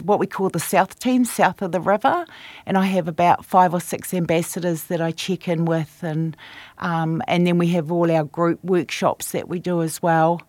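A woman's voice: on the low side, a little mellow, dry recording. Kiwi woman advocate, dry close sound. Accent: New Zealand. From New Zealand. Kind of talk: casual radio interview.

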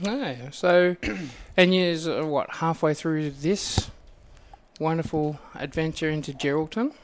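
An Australian man hosting a casual radio interview in a rambling, halting way. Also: fairly high pitch, a little hiss or hum. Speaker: community radio host. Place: radio studio.

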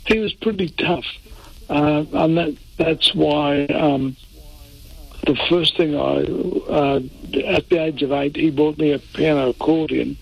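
An older Italian-born man talks through an interview at an unhurried, halting pace, often stopping and restarting. His voice is gravelly and a little husky, and sits somewhat high for a man. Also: fairly even intonation, dull audio. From Italy. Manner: halting, many fillers and restarts. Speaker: interview guest, defence lawyer. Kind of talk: reflective interview answers.

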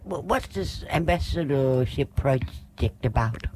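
A woman's voice: low voice, animated, a little slow, noisy background. A woman hosting a casual radio interview, chatty and a little jokey with her guests. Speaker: radio host.

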